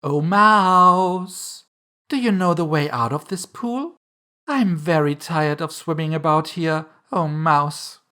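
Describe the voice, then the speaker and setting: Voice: mid-range voice, measured pace, voice pushed up, lightly acted. A narrator voicing a polite, eager little storybook girl who talks in an earnest, chatty, apologetic way. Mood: polite, curious.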